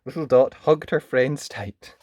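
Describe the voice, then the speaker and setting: A storyteller reading a children's picture book aloud in an expressive, dramatic way. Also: mid-range voice, unhurried, no echo, lo-fi sound. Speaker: storybook reader. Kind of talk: animated children's read-aloud narration.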